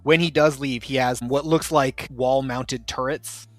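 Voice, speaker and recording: A man's voice: mid-range voice, steady tone, unhurried, dry recording, faint music behind. A man narrating as game master in a tabletop role-playing session, explaining scenes with frequent restarts.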